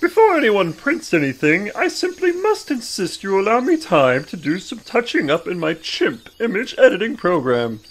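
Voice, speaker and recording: Woman as a husky, vain cartoon ape. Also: mid-range voice, very animated, moderate pace, few pauses. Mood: fussy vanity. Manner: prim, insistent. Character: vain, fussy cartoon ape obsessed with appearances.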